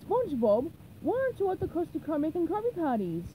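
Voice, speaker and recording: A woman's voice: extremely high pitch, big pitch swings, fast, warm tone, a little hiss or hum, voice pushed up, low-quality recording. A woman voicing a dim, impulsive male cartoon starfish with a blunt, blurting delivery in a storybook reading. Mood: delighted.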